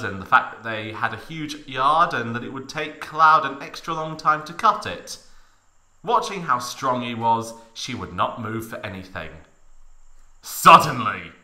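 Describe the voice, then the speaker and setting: Theatrical young man, very bright and forceful. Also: medium pitch, roomy sound. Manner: theatrical, exaggerated emphasis. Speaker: podcast narrator. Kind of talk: mock-dramatic comic reading of fan fiction.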